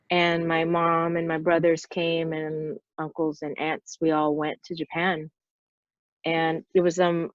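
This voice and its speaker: A woman's voice: lowish voice, dry recording. Chatty woman guest, dull dry sound. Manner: rambling storyteller, lots of "like" fillers. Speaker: podcast guest, stunt performer. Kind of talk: casual interview chat.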